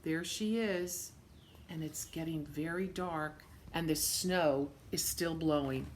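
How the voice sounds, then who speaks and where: A woman reading a children's story aloud in an expressive, dramatic way, with chatty asides spoken directly to young listeners. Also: deep voice, slow, a little hiss or hum. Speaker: story-time reader for children.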